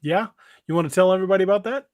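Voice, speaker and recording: A man hosts a casual livestream. His voice sits a little high and very dry, and he talks in a plain-spoken, rambling way. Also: racing, dry recording. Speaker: podcast host and author.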